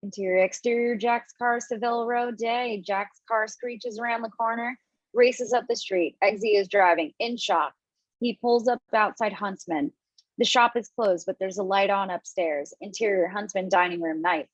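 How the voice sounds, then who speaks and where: A woman narrates screenplay directions at a table read in a mid-pitched voice. She occasionally trips over a word. The audio is dull, with very little room sound. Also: normal speed, no room sound. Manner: stumbles over words while reading. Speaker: stage directions reader. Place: remote online table read. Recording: dull, dry lo-fi call audio.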